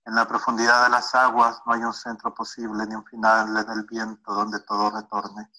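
Solemn man reading poetry, very flat. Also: fairly low pitch, normal speed, poor audio. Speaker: poet reading his poem.

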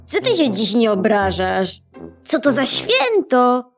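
Cheeky storybook schoolboy played by a woman, high and bouncy. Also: big pitch swings, slow, music in the background. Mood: teasing. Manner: mocking.

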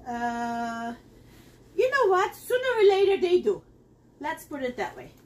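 Middle-aged woman, very high voice, excitable home-kitchen demo host, slightly off-mic. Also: measured pace, far from the mic. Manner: chatty and excitable, talks straight to viewers. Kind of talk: live cooking demonstration. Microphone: camera across the counter.

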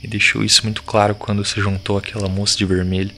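An actor playing a shaken, defiant village boy in an audio drama, speaking in urgent, halting slang. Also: on the low side, level tone, sharp tone, faint music behind. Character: shaken, defiant village boy urging his friends to flee.

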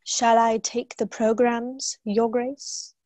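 A young woman playing a prim, patient, dutiful secretary with formal, measured delivery in a play reading. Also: fairly high pitch, level tone, mellow, dry recording. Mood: patiently cautioning. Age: young adult. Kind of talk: staged play reading over video call. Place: room at home, video call. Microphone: wired earphone microphone.

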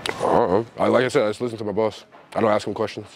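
A man's voice: fairly low pitch, steady tone, racing, creaky. A man chatting casually as he poses as a store worker, recorded in a noisy public place. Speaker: prankster posing as store worker. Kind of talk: casual prank talk.

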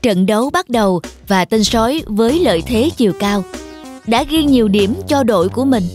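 A woman's voice: a little high, expressive, normal speed, darkish tone. A woman narrator reading a scripted children's story. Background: music.

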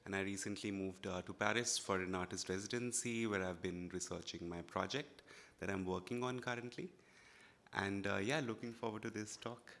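A man from India introduces himself as a documentary filmmaker on a panel. His voice is fairly low and bright, and he pauses on fillers. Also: fairly even intonation.

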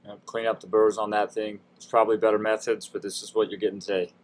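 Low-voiced man narrating a casual DIY tutorial. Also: flat delivery, fast. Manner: informal, folksy, self-deprecating. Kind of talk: casual how-to voice-over narration.

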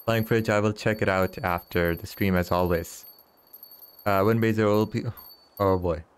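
A man's voice: lowish voice, clean tone. A man in his early thirties streaming a fishing game, chatting and teasing his viewers with frequent chuckles. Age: 30s. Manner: chuckles often, teasing banter with chat. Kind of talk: casual live-stream chat while gaming.

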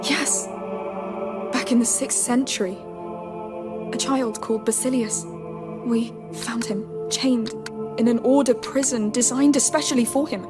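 Woman as earnest high-voiced girl, music behind. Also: fairly even intonation, a little slow, long pauses, close to an everyday voice. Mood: earnest, hopeful. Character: earnest, protective teenage girl, devoted elder sister. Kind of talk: video game cutscene acting.

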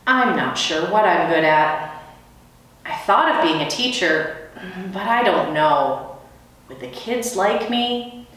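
Woman as a chummy, self-doubting custodian, lively pitch. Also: slow, long pauses. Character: friendly, self-doubting male school night custodian. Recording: echoey room, distant microphone.

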